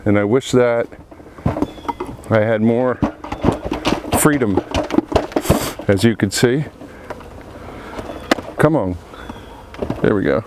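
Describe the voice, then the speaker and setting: A man with a cold and a froggy, congested voice, talking slowly through a beehive inspection outdoors among buzzing bees. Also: medium pitch, noisy background. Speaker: beekeeper. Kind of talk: casual hive inspection commentary. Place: outdoor apiary.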